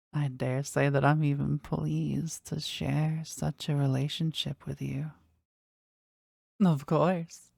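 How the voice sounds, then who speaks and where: A woman's voice: low-pitched, creaky, dark tone, no echo, studio quality, heavily acted. A woman voicing a powerful, devoted, possessive demon in an audio roleplay, with formal, intimate phrasing addressed to a master. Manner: slow, formal, reverent, intimate delivery. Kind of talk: scripted roleplay audio drama, addressed to the listener.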